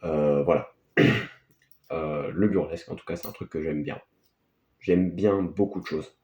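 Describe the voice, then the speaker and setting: A man's voice: extremely low pitch, a little slow, mellow, highs cut off. A man gives casual, unscripted film commentary as a video host, in a hesitant, rambling, offhand way. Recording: echoey, roomy sound.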